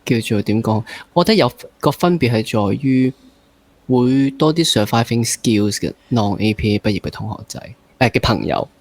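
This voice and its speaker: A young man speaks Hong Kong Cantonese at a middling pitch. He hosts in a conversational interview style and often slips English words into his sentences. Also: moderate pace. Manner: mixes English words into speech. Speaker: podcast host.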